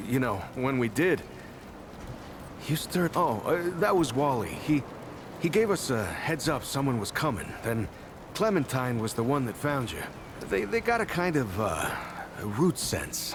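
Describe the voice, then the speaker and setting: Casting: earnest, shy survivor-town mayor for a game; hesitant delivery, mid-pitched, expressive melody. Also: male voice, noisy background. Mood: friendly, reassuring. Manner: hesitant, stammering, halting. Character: earnest, bashful male mayor of a survivor settlement. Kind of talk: naturalistic video game dialogue acting.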